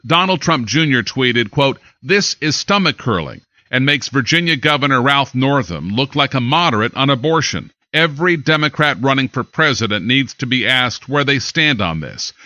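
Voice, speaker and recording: Male newscaster, American, bright forceful tone, animated pitch, scripted and dramatic. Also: no echo, muffled recording. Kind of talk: scripted news reading with dramatic emphasis. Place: studio.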